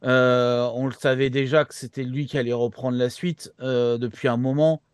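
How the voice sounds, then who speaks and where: A man's voice: mid-range voice, level tone, normal speed, smooth, no echo. Frenchman chatting casually, close dry sound. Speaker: show co-host. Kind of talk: casual talk-show chat about anime.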